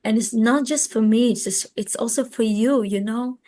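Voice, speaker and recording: A woman's voice: on the high side, mellow. A woman in her twenties from Israel with an Israeli accent, answering interview questions conversationally and often searching for words. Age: 20s. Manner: halting, searching for words in a second language. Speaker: interview guest, educator and social media activist.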